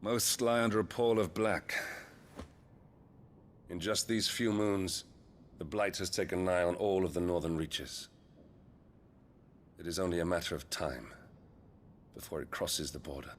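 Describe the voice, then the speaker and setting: An actor playing a weary, commanding middle-aged duke with a British accent, speaking in a measured way in a fantasy game. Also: male voice, sits low, a little hiss or hum. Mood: grave, resolute. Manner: measured, authoritative. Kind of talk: dramatic fantasy game acting.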